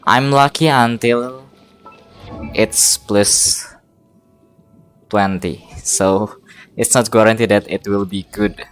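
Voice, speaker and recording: Slow, halting game streamer over music. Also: mid-range voice, fairly even intonation. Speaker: game livestreamer. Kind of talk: casual live game stream chat. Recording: music playing behind the voice.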